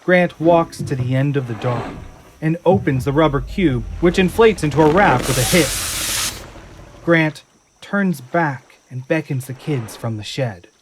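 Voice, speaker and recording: A man's voice: fairly high pitch, lively intonation, unhurried, background noise. A man reading scripted dramatic narration for an audio drama in a suspenseful storytelling manner. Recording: music and effects behind narration.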